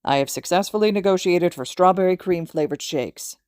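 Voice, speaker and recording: A woman's voice: lowish voice, moderate pace, a little mellow, clean tone, dry recording, lightly acted. Woman as a genial older man, hearty mentor, slightly formal, audiobook character, dry recording. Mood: grumbling, playful.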